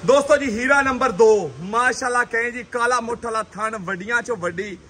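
A young man with a Pakistani Punjabi accent hosting a livestock sales video, chatting in a lively salesman patter at an outdoor cattle shed. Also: very high voice, normal speed, a little hiss or hum. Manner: chatty salesman patter, repeating stock phrases. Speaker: vlogger showing dairy cattle.

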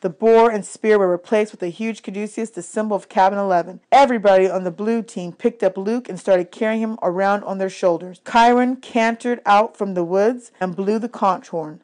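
A woman reading a children's adventure novel aloud as an expressive audiobook narrator, in scripted first-person narration. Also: mid-range voice, moderate pace, hardly any pauses.

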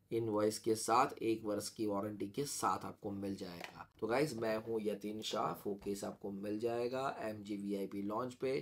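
A man's voice: lowish voice, a little hiss or hum. Indian man presenting a gadget. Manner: informal, mixes Hindi with English phrases. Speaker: gadget reviewer and seller. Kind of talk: casual product demo with sales pitch.

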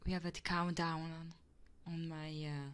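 A woman streamer doing ASMR and chat in a soft, intimate voice that often drops into whispers, close to the microphone. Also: deep voice, level tone, moderate pace, clean tone. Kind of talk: ASMR roleplay and chatting livestream.

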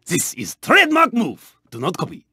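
An actor voicing a proud, intense, honour-bound racing car in a video game, with a Japanese accent, a raspy voice and clipped, formal delivery. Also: male voice, sits high, huge pitch swings, normal speed, sharp tone, no echo, studio quality, exaggerated voice. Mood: stern, indignant. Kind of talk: video game voice clips, short barks.

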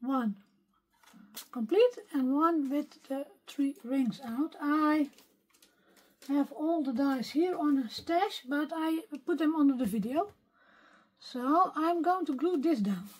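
A Dutch woman speaking English, her voice weak and partly gone, softly thinking aloud as she works through a craft tutorial at her desk. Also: high voice, rough recording. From Netherlands. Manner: soft-spoken, unhurried, talks to herself while working. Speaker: craft video maker. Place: craft desk at home, filmed from overhead.

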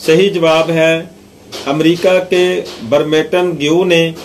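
A man narrating scripted quiz questions and answers in a measured, deliberate reading. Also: on the high side, little pitch movement, a little hiss or hum.

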